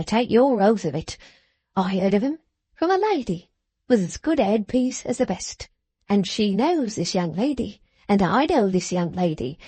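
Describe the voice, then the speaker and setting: A woman's voice: mid-range voice, a little mellow, slightly rough, no room sound. A woman doing a scornful older rich man. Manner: mocking, contemptuous, bullying.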